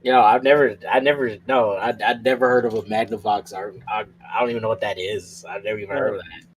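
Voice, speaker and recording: A man's voice: on the low side, fairly even intonation, fast, low-quality recording. A man in casual banter, slangy and profane, repeating himself for emphasis. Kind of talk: casual group chat.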